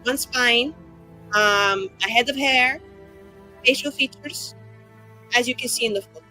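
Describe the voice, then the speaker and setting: An earnest, deadpan woman acted out by a female player in a tabletop roleplaying game. She presents facts very literally in a fairly high voice, with faint music behind. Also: a little slow, low-quality recording.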